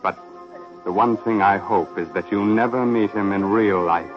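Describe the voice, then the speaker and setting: A man with a low voice hosts a 1940s radio mystery. His delivery is dramatic and sardonic. Music plays clearly behind the dull, dated recording. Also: normal speed. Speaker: radio mystery host and narrator. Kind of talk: 1940s radio horror hosting, theatrical narration.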